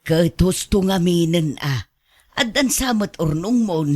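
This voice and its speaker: Male actor as scolding relative, high voice, very lively pitch, suspicious, exasperated, radio drama. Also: unhurried, no room sound. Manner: nagging, reproachful. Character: exasperated, suspicious family member scolding an old man. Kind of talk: Ilocano radio drama acting.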